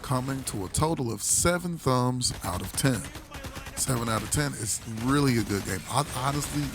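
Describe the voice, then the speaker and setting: A man with a hoarse voice, recovering from illness, giving a casual, rambling game review full of restarts and laid-back slang. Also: medium pitch, lively intonation, normal speed, background noise.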